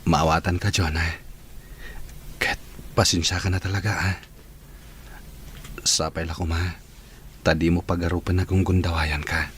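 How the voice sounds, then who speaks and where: A sincere young lover. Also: male voice, sits low, level tone, unhurried, pauses often, slight background noise. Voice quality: soft, apologetic. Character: young man in love, apologetic and sincere. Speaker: radio drama actor. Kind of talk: broadcast radio acting.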